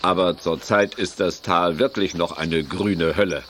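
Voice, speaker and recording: An actor in a German audio drama playing a genial, unhurried older gentleman. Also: sits low, fairly even intonation.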